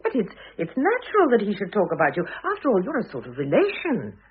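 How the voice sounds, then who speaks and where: A composed British mistress of the house with a husky, expressive voice. She is brisk and drily ironic, in old-fashioned radio drama style. The sound is narrow and phone-like, like a vintage broadcast. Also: mid-range voice, heavily acted. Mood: cool, dry. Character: composed, dry-witted mature mother, mistress of the house.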